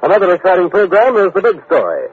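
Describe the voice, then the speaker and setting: A man's voice: high-pitched, wildly swooping pitch, fast talker, breathy edge. An American man announcing in a formal, dramatic 1950s radio style, with emphatic scripted delivery. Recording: tinny old radio broadcast recording.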